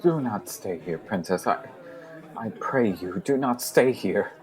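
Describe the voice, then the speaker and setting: An actor playing a devoted, anxious young captain in a classical audio drama, deferential and halting as he pleads. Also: fairly low pitch, lively intonation, a little mellow, a faint crowd. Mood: pleading.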